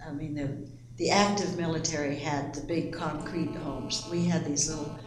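A woman's voice: low-pitched, fairly even intonation, normal speed. Older woman interviewee from the Canal Zone, reflective, in an echoey room. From Panama Canal Zone. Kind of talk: oral history recollection. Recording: echoey room with background noise.

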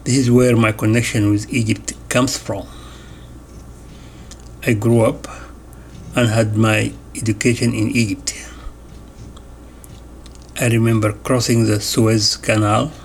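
A man reading an interview transcript aloud in a measured way, announcing each question and answer. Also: fairly low pitch, little pitch movement, slight background noise. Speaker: voice-over reader of an interview transcript.

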